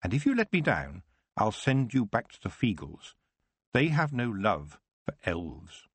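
A man voicing a young witch who speaks firmly and in a measured, commanding way. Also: on the low side, huge pitch swings, breathy edge, no echo, full character voice. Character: young witch girl Tiffany, firm and sharp-minded.

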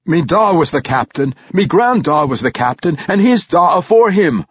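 A man voicing a proud, exuberant dwarf guard captain in a fantasy audiobook, boastful and loud. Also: sits high, very animated, a little slow, husky, no echo, highs cut off, voice pushed up, exaggerated voice. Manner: boastful, exuberant, shouting dialect. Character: wild, proud red-bearded male dwarf warrior captain.

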